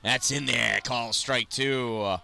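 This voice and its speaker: A man calling a youth baseball game play by play, chatty and self-deprecating, with rambling asides and a loud voice. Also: mid-range voice, steady tone, slow, vocal fry. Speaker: play-by-play announcer. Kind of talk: casual youth baseball commentary.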